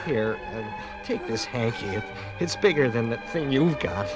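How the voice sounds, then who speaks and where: An actor playing an awkward, lovestruck young American soldier in a 1950s radio drama, halting and stammering earnestly. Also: male voice, medium pitch, lively intonation, over music, lightly acted. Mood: flustered. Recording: 1950s radio production from vinyl record.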